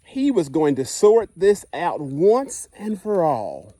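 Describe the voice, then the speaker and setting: Slow, high-voiced man reading a picture book. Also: no room sound. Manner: expressive storytelling with asides to listeners. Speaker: school principal reading aloud. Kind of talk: children's picture book read-aloud.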